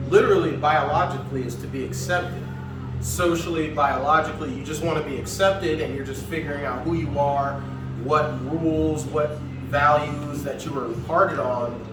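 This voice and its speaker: Man, slightly high voice, earnest, reflective, casual swearing, candid guest, roomy echo, mic a bit distant, music behind. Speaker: podcast guest. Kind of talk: candid conversation. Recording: echoey room, far from mic, music behind.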